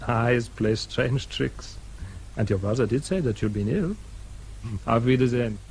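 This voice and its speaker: A suave gentleman with a questioning, gently condescending air. His medium-pitched voice rises and dips expressively. The radio drama recording carries faint noise behind him. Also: animated, low-quality recording. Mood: courteous, cautioning. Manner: measured, questioning, politely condescending. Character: urbane, sceptical English gentleman, courteous but doubting. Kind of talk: British radio drama acting.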